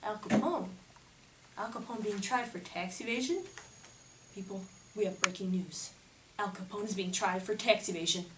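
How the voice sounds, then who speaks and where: A woman's voice: mid-range voice, moderate pace, close to an everyday voice. A woman playing a flighty, flirtatious, slangy 1920s news anchor in an amateur mock newscast. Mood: mock serious. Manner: theatrical, slangy. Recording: echoey room, distant mic, faint noise.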